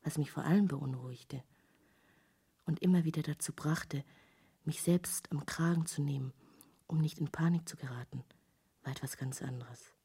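An actress in a literary radio play playing an intense, searching woman painter, speaking intimately and deliberately in a breathy, creaky half-whisper. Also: low voice, dark tone, heavily acted. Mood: hushed, wondering. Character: intense female painter hungry for forbidden knowledge, musing.

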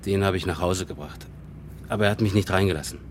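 A man plays a police officer reporting to his superior in a radio drama. His low voice is plain and factual, with some background ambience around it. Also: fast talker, a little hiss or hum, close to an everyday voice. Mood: matter-of-fact. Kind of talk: radio play acting.

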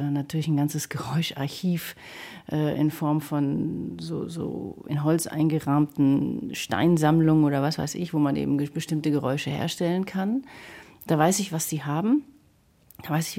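A woman's voice: low-pitched, dark tone. A woman speaking reflectively in an interview, explaining her craft as a sound artist. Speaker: sound artist and radio drama maker. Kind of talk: interview statement about craft.

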